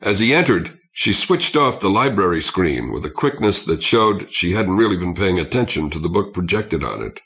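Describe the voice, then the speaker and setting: A man reading a science fiction story aloud as an audiobook narrator, in a measured and expressive way. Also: deep voice. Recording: old cassette audiobook transfer.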